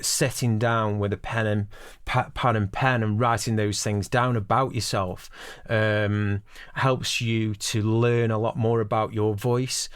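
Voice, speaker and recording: A man's voice: fairly low pitch, no room sound, studio quality. A man in his forties giving instructional advice as a voice-over producer, explaining things directly to the listener. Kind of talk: instructional talk to camera.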